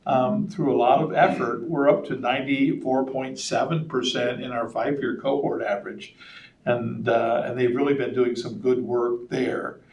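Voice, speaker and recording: Slightly hoarse man in an echoey room. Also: mid-range voice, flat delivery, normal speed, darkish tone, muffled recording. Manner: conversational, with frequent ums and uhs. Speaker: school superintendent. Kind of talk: presenting a report at a board meeting. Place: public meeting room. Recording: roomy meeting-room audio from a distant mic.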